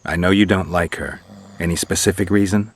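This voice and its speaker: An actor playing a terse, deadpan monster hunter for hire in a fantasy video game, with a gruff, gravelly voice. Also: male voice, deep voice, a little fast, slight background noise, natural voice. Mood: dry, matter-of-fact.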